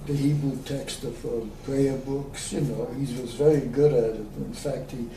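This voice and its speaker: A man, recalling his life in an oral history interview, slow and hesitant with many pauses. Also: medium pitch, fairly even intonation. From Austria. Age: 90s. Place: room at a community center. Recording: echoey room, distant mic, faint hiss.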